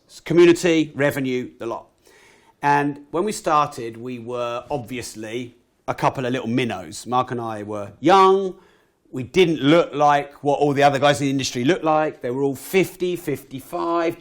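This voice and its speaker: Croaky man telling stories. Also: medium pitch. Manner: animated, swears casually. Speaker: guest entrepreneur. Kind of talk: podcast interview storytelling.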